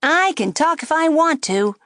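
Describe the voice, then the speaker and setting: A brash wooden dummy with a cheeky, nasty streak, as a woman puts him on, pitched high and loud above the table talk. The voice swoops around with mocking lilts, and every insult is thrown out for the whole room to hear. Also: animated, measured pace, dry recording, studio quality. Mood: taunting. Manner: loud, taunting, jeering. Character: rude brash male ventriloquist's dummy, insulting.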